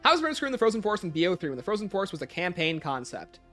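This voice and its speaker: Animated, higher-pitched male livestreamer. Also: very fast, faint music behind. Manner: reads questions aloud, then answers offhand. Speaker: livestream host. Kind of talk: casual livestream chat answering viewer questions.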